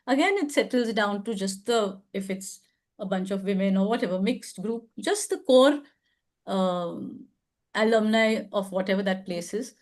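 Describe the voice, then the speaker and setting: A woman's voice: mid-range voice, measured pace. An older woman with an Indian English accent, hosting a casual advice discussion, thoughtful and hedging, with many fillers. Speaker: advice show host.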